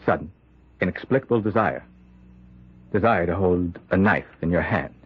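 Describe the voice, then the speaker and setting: Dying possessed man, deep husky voice, pushy and ominous, old time radio drama. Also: moderate pace, muffled recording. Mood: insistent, probing. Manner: urgent, commanding, dramatic. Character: dying, insistent occultist man possessed by killer's spirit.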